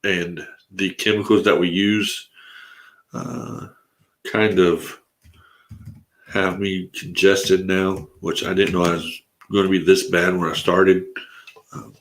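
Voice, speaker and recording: A man's voice: low-pitched, little pitch movement, slow pace, pauses often. A congested man hosting a casual live stream, talking in a rambling, laid-back way with lots of fillers. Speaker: livestream host. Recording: roomy echo.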